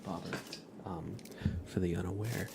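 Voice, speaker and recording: A man with a low, creaky voice talks slowly and softly as he hosts a cozy gaming stream. He often slips into a whisper, in an unhurried ASMR style, with noise behind him. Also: steady tone. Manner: soft-spoken, often dropping into a whisper. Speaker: livestream host.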